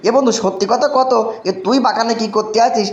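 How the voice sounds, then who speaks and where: A man voicing a brash, excitable young villager in a comic cartoon, blustering and repeating himself in a dialect. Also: extremely high pitch, a little fast, lo-fi sound. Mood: prying. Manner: exclamatory, repetitive, blustering. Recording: roomy echo, clearly noisy background.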